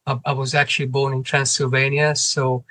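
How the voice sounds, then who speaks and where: A man from Hungary with a Hungarian accent, answering interview questions in English as a guest, often pausing and restarting his phrases. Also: medium pitch, flat delivery, sharp tone, no echo. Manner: halting, restarts phrases, non-native grammar. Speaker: cinema manager, guest.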